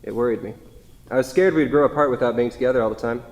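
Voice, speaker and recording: Male reader as devoted, self-deprecating husband, wistful and conversational, mid-pitched, stage reading in a reverberant hall. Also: fast, a little mellow, natural voice. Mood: wistful, tender. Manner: reminiscing, conversational.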